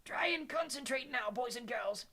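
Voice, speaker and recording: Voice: high voice, expressive, moderate pace, pushed and bright, voice pushed up, full character voice. An elderly male examiner from a storybook, acted out by a narrator. He is mild, proper and easily scandalized. His voice sits well above the reader's own, with a flustered, fussy air. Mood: mild, feeble.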